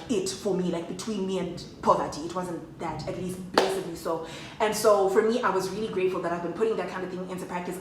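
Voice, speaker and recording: A woman's voice: on the low side, a little hiss or hum. Chatty woman, roomy distant sound. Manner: chatty, slangy, addresses viewers as guys. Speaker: vlogger. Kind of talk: casual storytime chat to camera.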